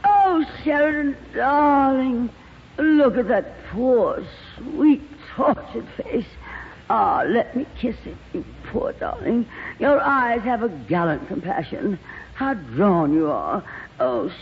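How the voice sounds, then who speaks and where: An actress playing a glamorous, worldly, gossipy stage actress in a 1940s radio comedy, theatrical and full of endearments. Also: female voice, on the high side, big pitch swings, slow, heavily acted. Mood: gushing. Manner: theatrical, drawls endearments like darling. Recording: old radio broadcast, reverberant, dull, faint hiss.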